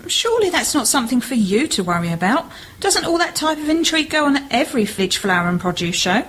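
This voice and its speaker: A woman's voice: high-pitched, very animated, quick pace, a bit bright. A woman acting a homely, sensible, cautious sister in an amateur radio play, with a questioning manner. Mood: encouraging.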